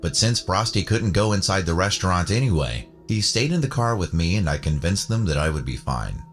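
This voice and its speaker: A man with a very low-pitched voice narrates a scripted personal story. His delivery swings noticeably up and down in pitch, and music plays clearly behind him. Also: big pitch swings, brisk. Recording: music bed under the voice. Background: background music.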